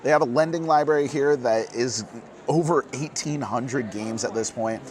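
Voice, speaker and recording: Hoarse man hosting board game news. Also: medium pitch, darkish tone. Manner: earnest, quick, gets fired up. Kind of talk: casual news roundup. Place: busy convention hall.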